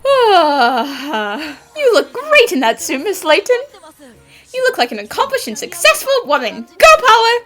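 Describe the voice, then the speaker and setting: A woman voicing eager, excitable young detective and office women in an animated fan dub, with perky, theatrical delivery. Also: very high-pitched, measured pace, heavily acted. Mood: admiring.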